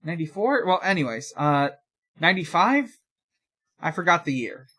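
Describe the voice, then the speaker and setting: A young man co-hosting a podcast in casual chat, talking in a halting, rambling way and often correcting himself. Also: a little high, very animated, pushed and bright. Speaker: podcast co-host.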